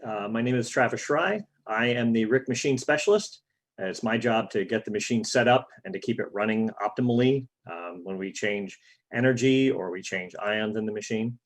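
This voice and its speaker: A man with a low voice gives a plain, matter-of-fact introduction on a video call, with a few uhs along the way. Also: moderate pace. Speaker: machine specialist. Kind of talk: casual self-introduction.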